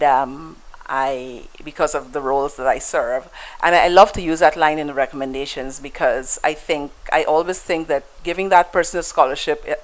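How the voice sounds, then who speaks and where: Low-voiced Guyanese woman telling stories. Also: low-quality recording. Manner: reflective storyteller with many fillers and restarts. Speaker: interview guest. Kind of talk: conversational interview.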